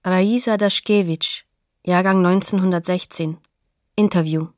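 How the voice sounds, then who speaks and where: A woman's voice: fairly low pitch, fairly even intonation, unhurried, a little mellow, dry recording, studio quality. A woman announcing scripted documentary credits in a sober, neutral manner.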